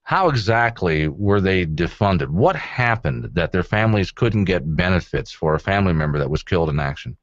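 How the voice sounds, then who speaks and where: A man's voice: deep voice, animated, moderate pace, hardly any pauses, dry recording. An American man hosting a conversational radio call-in show, informal and wry as he puts questions. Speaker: radio talk show host. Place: radio studio. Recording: slightly dull radio broadcast air-check.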